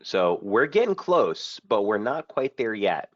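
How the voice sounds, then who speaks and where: A man hosting a live trading webinar, talking through charts in a brisk, casual way with many fillers, a bit hoarse from feeling under the weather. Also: on the low side, sing-song, no echo. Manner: brisk, casual trader talk with frequent fillers. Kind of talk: live market analysis webinar. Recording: dull, dry lo-fi stream audio.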